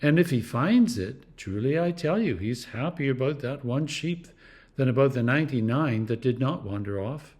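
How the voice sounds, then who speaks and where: A clergyman reading scripture aloud in a deliberate, expressive way, with dramatic emphasis and pauses. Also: mid-range voice. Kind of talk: scripture reading, devotional narration.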